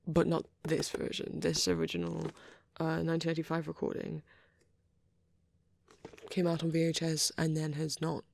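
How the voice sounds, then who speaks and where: A performer voicing a shy, bashful, nerdy young male vampire boyfriend in a soft, hesitant, rambling ASMR roleplay. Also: medium pitch, moderate pace, gravelly, slight background noise, close to an everyday voice. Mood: shyly enthusiastic rambling. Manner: hesitant, stammering, apologetic, excitable when rambling.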